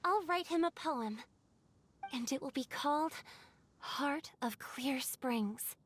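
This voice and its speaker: An actress playing a gentle, wistful water fairy in a video game, speaking softly and earnestly. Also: female voice, high voice, lively intonation, slow pace, slight background noise. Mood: anxious, hopeful. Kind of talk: video game cutscene acting.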